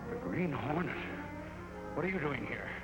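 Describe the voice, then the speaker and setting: An American actor playing a cornered, evasive racketeer in a 1940s film serial, blustering and pleading. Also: male voice, mid-range voice, animated, moderate pace, natural voice, low-quality recording. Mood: defensive. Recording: old 1940 film soundtrack, loud music behind.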